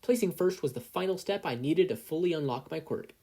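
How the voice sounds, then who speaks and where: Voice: mid-range voice, lively intonation, fairly quick, mellow, slightly rough, voice pushed up. A performer voicing a nervous, earnest teenage boy hero trainee in an audio story. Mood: calm confidence. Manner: anxious, earnest, rambling questions.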